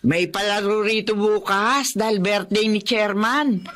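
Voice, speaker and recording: A woman's voice: mid-range voice, fairly even intonation, unhurried, a bit bright, lightly acted. A woman playing a kindly, chatty elderly neighbour and friend in a Filipino radio drama, with a folksy, coaxing manner.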